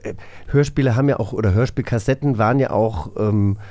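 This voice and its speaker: A man's voice: lowish voice, brisk, dark tone. A man chatting casually as a podcast co-host.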